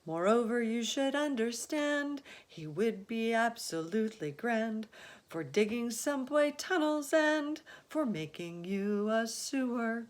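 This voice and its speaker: A cheerful young boy from a storybook, put on by a woman reader. He chants rhymes in a high, sing-song voice with a swooping lilt. The delivery is slow and eager. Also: lively intonation. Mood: proud.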